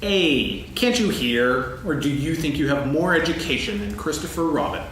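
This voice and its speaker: A man playing a grumbling, self-pitying storybook donkey in a staged reading, lecturing pompously with emphatic pauses. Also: a little high, animated, a bit bright. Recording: echoey stage, distant mic, faint noise.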